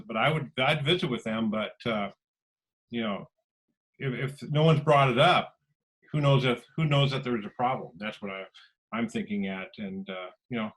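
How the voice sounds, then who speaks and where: A man instructor offers advice in a conversational, folksy way. His voice sits at an average pitch, and he puts things plainly, as in an informal online meeting. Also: low-quality recording. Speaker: college instructor. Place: online video call.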